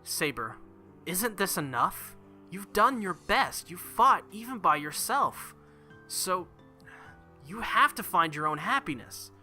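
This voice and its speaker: A man's voice: high-pitched, sing-song, slow pace, pushed and bright, soft background music, voice pushed up, exaggerated voice. A man voicing an earnest, stubborn, lovestruck teenage boy hero in a visual novel, speaking insistently. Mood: passionate, insistent. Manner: insistent, heartfelt.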